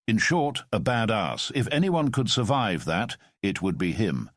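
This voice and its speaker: A man voicing a calm, commanding middle-aged former spy who speaks in a measured, formal way. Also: fairly low pitch, dry recording, clean studio sound. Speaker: storyteller. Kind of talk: audio drama storytelling.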